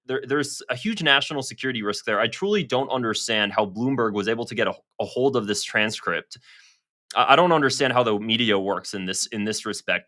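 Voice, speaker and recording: A man's voice: medium pitch, sharp tone. A man talking casually to his viewers as a political commentator, conversational, sometimes stumbling over and repeating his words. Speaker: online political commentator and host. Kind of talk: casual news commentary, reading quotes aloud to viewers.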